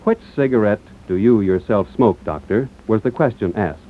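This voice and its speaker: A man's voice: fairly low pitch, normal speed. A man announcing in a grand, solemn, declamatory way, reading a 1940s radio advertisement. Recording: tinny, lightly noisy old radio broadcast.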